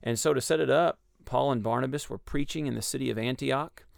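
A man with a Texas accent explaining a Bible passage in a measured, teaching way on a radio discussion. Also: medium pitch, gravelly, no echo, clean studio sound. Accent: Texan. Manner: measured, explanatory, with frequent ums and uhs.

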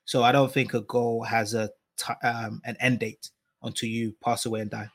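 A man's voice: mid-range voice, level tone, moderate pace, a bit bright. A man in a casual group discussion, explaining his views reflectively and often checking in with "right?". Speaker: panel guest.

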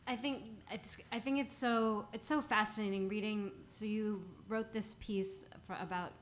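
A woman's voice: medium pitch, fairly even intonation, normal speed, slight background noise. A woman interviewing a guest, hesitant and searching for her words. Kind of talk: live interview questions.

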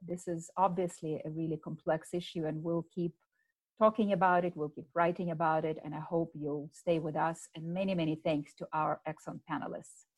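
A woman moderates a webinar panel over a video call. Her voice is husky and slightly low, and the sound is dry and close. She speaks politely and briskly, handing the floor to each panelist. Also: flat delivery, a little mellow, no echo, low-quality recording.

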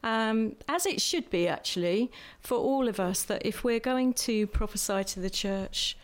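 Earnest woman speaking with measured pauses. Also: on the high side, expressive. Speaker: church speaker who prophesies. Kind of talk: church panel conversation.

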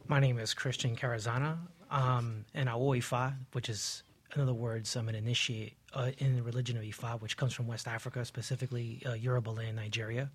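A man's voice: medium pitch, flat delivery, brisk, slightly rough. A man speaking as a panel guest, plainly introducing himself and his religious practice. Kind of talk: casual self-introduction.

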